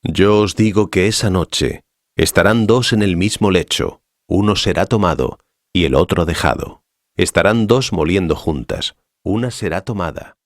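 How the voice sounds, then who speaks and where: An actor playing a solemn, authoritative male teacher in a dramatized scripture reading, speaking in a measured, didactic way. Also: low voice, no room sound, studio quality. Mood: solemn teaching.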